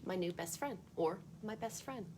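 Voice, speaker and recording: A woman hosts a children's storytime in a medium-pitched voice. She reads expressively and adds chatty asides aimed at young listeners. Ambient noise is clearly audible behind her. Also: lively intonation, moderate pace. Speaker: storytime host reading a picture book aloud.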